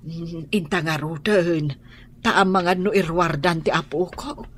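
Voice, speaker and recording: A woman's voice: on the low side, unhurried, lightly acted. A woman playing an anxious, prayerful elderly grandmother in an Ilocano radio drama, sighing and drawing out her words. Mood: worried. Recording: noisy radio drama recording.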